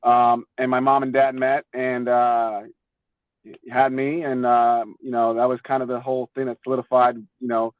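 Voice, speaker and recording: A man's voice: medium pitch, little pitch movement, moderate pace, dry recording. A young man from Florida chatting casually as a podcast guest, telling stories informally and often repeating words. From Florida, United States. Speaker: podcast guest, former baseball player. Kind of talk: casual chat, storytelling. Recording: tinny, phone-like call audio.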